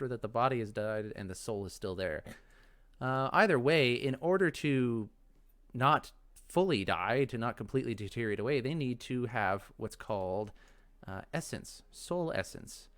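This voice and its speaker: A man's voice: medium pitch, fairly bright tone. A man giving casual video game commentary, rambling and musing aloud as he thinks things through. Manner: rambling, musing, talks to himself. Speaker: let's play host.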